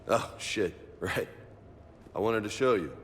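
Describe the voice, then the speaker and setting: A man's voice: fairly low pitch, measured pace, slight background noise, close to an everyday voice. A man voicing a brash, friendly young soldier and gym buff in a video game, cocky and slangy, with bits of Spanish. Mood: casual, sincere.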